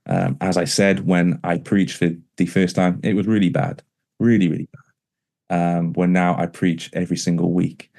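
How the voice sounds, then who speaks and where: A man's voice: low voice, level tone, moderate pace, vocal fry, darkish tone. A man, a pastor, talking in an interview conversation, with a stammer that shows in repeated words and restarts.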